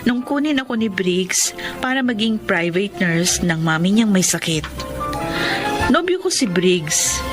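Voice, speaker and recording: Actress for lovestruck young Filipino nurse, radio drama; respectful, sincere, medium voice over prominent music. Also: female voice, medium pitch, lightly acted. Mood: confiding, earnest. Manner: earnest, respectful. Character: devoted, lovestruck young nurse narrating her own story. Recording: music behind the voice.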